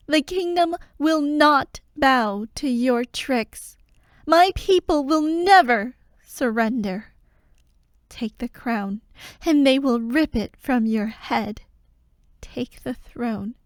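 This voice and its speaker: An actress as a proud royal heir delivering a defiant fantasy speech. The voice is high and the delivery slow and formal, solemn in its oratory. It is recorded very dry and close. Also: female voice, dry recording. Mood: solemn defiance. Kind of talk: dramatic fantasy audio roleplay speech.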